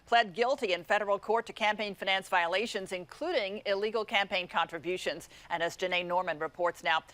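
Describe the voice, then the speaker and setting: Casting: female American news anchor, mid-range pitch, delivering scripted morning headlines. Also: brisk, a bit bright.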